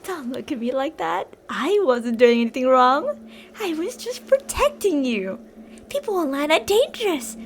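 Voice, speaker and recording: A woman's voice: high-pitched, slight background noise. A woman playing an obsessive, possessive female fan turned kidnapper in an intimate, dramatic ASMR roleplay monologue. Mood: defensive, insistent. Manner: intimate, swinging between insistent pleading and soft whispery menace.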